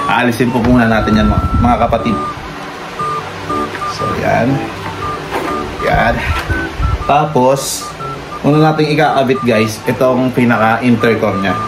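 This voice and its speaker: A man with a Filipino accent talks through a hands-on tutorial in an average-pitched voice. He addresses viewers politely and familiarly, like a casual vlogger. Music plays loudly behind him. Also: measured pace, pauses often, rough recording. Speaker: vlogger presenting an installation.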